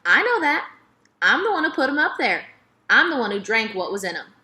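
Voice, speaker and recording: A woman's voice: on the high side, very animated, a little fast. A woman voicing a frank, wise, kindly old Southern woman with folksy dialect in a children's storybook. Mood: frank, regretful. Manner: folksy dialect, gently admonishing. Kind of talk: children's novel read-aloud.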